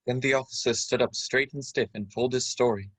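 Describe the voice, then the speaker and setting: A man's voice: mid-range voice, steady tone, fairly quick, dry recording, lightly acted. A man playing the narrator of a radio adventure play, telling his tale in literary phrasing. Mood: storytelling. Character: male narrator recalling his boyhood adventure. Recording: music playing under the voice.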